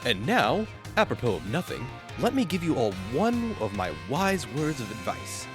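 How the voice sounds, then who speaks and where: Cartoon fan dub: male actor as upbeat, flourishing language teacher, very animated delivery over clear background music. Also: mid-range voice, normal speed. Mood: upbeat, instructive. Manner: theatrical, lecturing, multilingual flourishes. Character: cheerful male language teacher peppering speech with foreign phrases.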